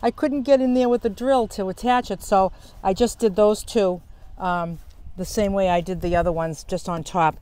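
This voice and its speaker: An older woman with a clear, smooth voice at a middling pitch talks through a casual how-to tour. She is chatty and practical, explaining each step while her hands are busy. The sound is that of a clip-on mic at the open door of a van. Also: fairly even intonation, normal speed, mellow. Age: older, senior. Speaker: vlogger. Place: outdoors at the open side door of a camper van. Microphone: small clip-on lavalier mic.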